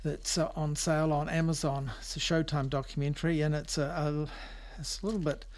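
A man hosting a music show on radio, talking casually and off the cuff in a halting, rambling, hesitant way. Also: a little high, steady tone, a little mellow. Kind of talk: casual, unscripted back-announcing of records.

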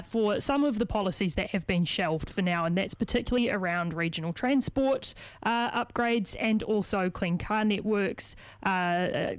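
A New woman interviewing a guest on radio, her voice a little worn as she loses it. She asks earnest, slightly halting questions in a dry studio sound, at a middle pitch. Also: no room sound. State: losing her voice.

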